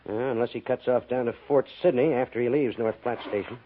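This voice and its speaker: An actor playing a formal, exacting army major who commands a frontier post, in the measured style of 1950s radio drama. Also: male voice, mid-range voice, fairly even intonation, close to an everyday voice. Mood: businesslike. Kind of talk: 1950s radio western acting. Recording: narrow, hissy 1950s radio recording.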